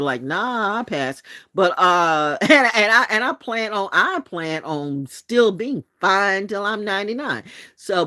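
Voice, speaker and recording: Sixty-something woman hosting a late-night vlog, blunt and profane, lively, expressive delivery, dry room. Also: fairly low pitch, no room sound. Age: 60s. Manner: blunt and profane, with laughs and restarts. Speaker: channel host.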